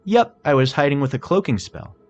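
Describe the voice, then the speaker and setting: Man as female unicorn, brash, firm, indignant, quick-tempered, raised pitch, storybook character. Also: animated. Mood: stern.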